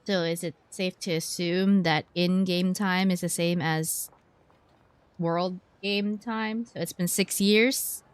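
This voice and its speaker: A woman's voice: on the low side, fairly even intonation, takes it slowly, clear, resonant tone, no echo. A woman VTuber giving casual playthrough commentary, playfully musing aloud and reading game text.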